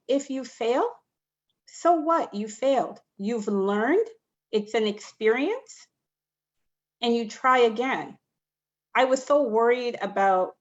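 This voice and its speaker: A woman speaking as a panellist in an online discussion, giving earnest, emphatic advice. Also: fairly high pitch. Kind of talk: reflective panel talk. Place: online video call. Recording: dull lo-fi call audio.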